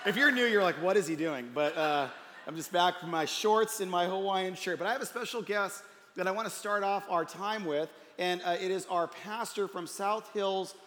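Bright energetic preacher in a hall. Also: male voice, high-pitched, normal speed. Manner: energetic, joking, then earnest praying. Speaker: pastor. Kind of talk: sermon and stage hosting. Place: church auditorium stage. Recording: reverberant hall with audience.

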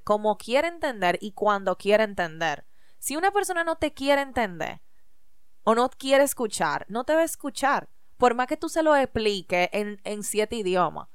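A woman's voice: a little high, very animated, unhurried, smooth, no room sound, studio quality. A woman co-hosting a podcast, talking in a reflective, emphatic and encouraging way. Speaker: podcast co-host. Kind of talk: casual chat.

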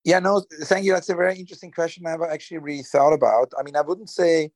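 A man's voice: fairly high pitch, fairly even intonation, fast, a little mellow, dry recording. A man from Switzerland, answering interview questions hesitantly with many fillers and restarts. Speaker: guest. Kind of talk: conversational interview.

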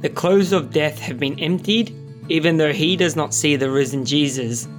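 Higher-voiced male narrator over guitar music. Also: normal speed. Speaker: narrator introducing reading and reflection. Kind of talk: scripted devotional narration. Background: plucked guitar music.

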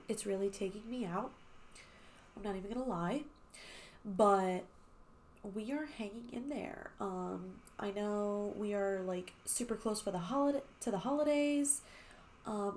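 A woman's voice: medium pitch, darkish tone, slight background noise. A young woman hosting a podcast, chatting casually with lots of fillers and swearing, her voice a little nasal and congested. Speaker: podcast host. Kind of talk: casual chat.

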